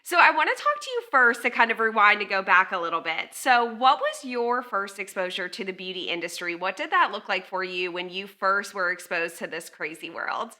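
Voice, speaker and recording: A woman's voice: medium pitch, very animated, moderate pace, few pauses, sharp tone. A woman hosting a beauty industry interview show, chatting casually with lots of filler words. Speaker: podcast host. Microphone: video call.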